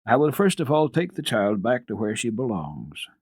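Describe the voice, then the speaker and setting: A man playing a kindly, calm family doctor in a storybook, questioning and reassuring in a gentle, measured manner. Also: medium pitch, slightly rough, no room sound, clean studio sound. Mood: firm. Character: kindly male family doctor, calm, soothing, quietly probing.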